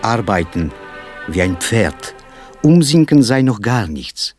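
Whimsical, nervous aging poet. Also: male voice, lowish voice, sing-song, slow pace, music in the background. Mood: instructing. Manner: emphatic, mannered, courteous, circling repetitive self-talk. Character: aging, slightly nervous, whimsical poet with quirks, defiantly cheerful. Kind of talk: theatrical literary monologue with music.

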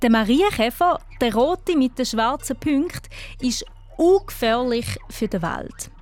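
A woman's voice: a little high, expressive, unhurried, a little mellow. A woman with a Swiss German accent, chatting encouragingly as the host of a children's radio quiz.

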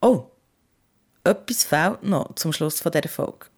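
A woman's voice: low-pitched, animated, a little slow, darkish tone, husky, soft background music. A woman with a Swiss German accent hosting a podcast about parenting in a candid, colloquial, conversational way.

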